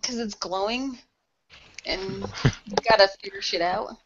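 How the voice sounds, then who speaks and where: A woman's voice: medium pitch, measured pace, muffled recording. A woman improvising as a role-play player, with a casual, slangy, sarcastic way of talking. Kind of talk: improvised in-character dialogue, casual.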